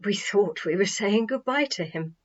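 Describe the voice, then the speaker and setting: A woman plays a gentle, earnest storybook man who speaks in a hushed, halting, tender way. The recording is a touch dull and lo-fi. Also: mid-range voice, lively intonation, lightly acted.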